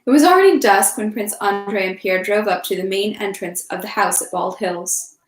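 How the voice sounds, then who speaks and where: Woman, medium pitch, informal livestream reader, casual delivery, echoey room sound. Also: normal speed. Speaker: livestream host reading a novel aloud. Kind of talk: casual livestream chat and storybook read-aloud.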